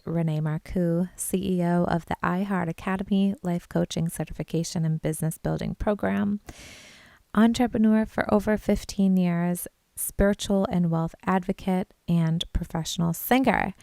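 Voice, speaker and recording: A woman's voice: mid-range voice, warm tone. A woman hosting a podcast, introducing and interviewing a guest with effusive enthusiasm. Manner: effusive, enthusiastic, repeats "amazing". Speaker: podcast host.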